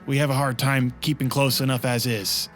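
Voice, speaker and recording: A man playing a casual, evasive soldier reporting haltingly to his commander in a tabletop roleplay. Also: medium pitch, flat delivery, close to an everyday voice. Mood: hesitant. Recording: music bed under the voice.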